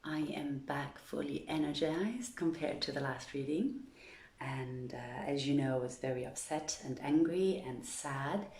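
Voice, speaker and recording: Low-voiced woman reading in an echoey room. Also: a little slow, a little mellow. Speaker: reader of stories to an online audience. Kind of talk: literary storybook reading with chatty greeting.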